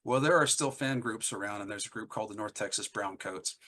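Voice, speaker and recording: A man's voice: lowish voice, brisk, pushed and bright, slight background noise. A middle-aged man chatting casually as an interview guest, telling anecdotes in an enthusiastic, rambling way. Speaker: interview guest, singer-songwriter.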